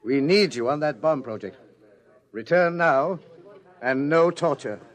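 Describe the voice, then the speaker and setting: An actor playing a suave, self-indulgent pursuer from the future in a vintage radio drama, urbane, leisurely and mocking. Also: male voice, fairly high pitch, expressive, measured pace. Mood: smug menace. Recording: old radio drama recording.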